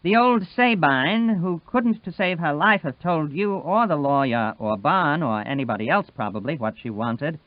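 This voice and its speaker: A man narrating a literary novel as an audiobook, phrasing long flowing sentences in a measured, expressive way. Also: on the high side, no echo. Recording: dry, band-limited, phone-like sound.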